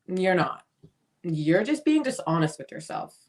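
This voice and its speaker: A woman's voice: on the low side, very animated, unhurried, a little mellow. A woman playing a blunt, free-spirited, opinionated sister in a dramatic play reading. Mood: insistent, preachy. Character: blunt, free-spirited sister pushing self-liberation on her sibling.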